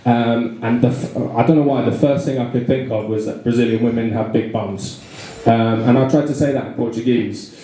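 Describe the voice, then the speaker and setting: A man's voice: mid-range voice, steady tone, normal speed, mellow. A young man with a London accent doing a stand-up set, rambling with lots of fillers and restarts, self-deprecating. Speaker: stand-up comedian. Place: small pub comedy room with a live audience. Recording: very echoey room. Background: audience laughter.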